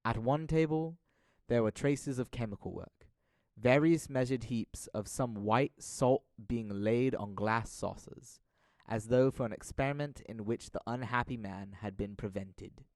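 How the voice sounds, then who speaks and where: A man's voice: mid-range voice, a little slow, a little mellow, no room sound. A young man reading scripted dramatic narration of a classic tale, as narrator of an audio drama. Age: young adult.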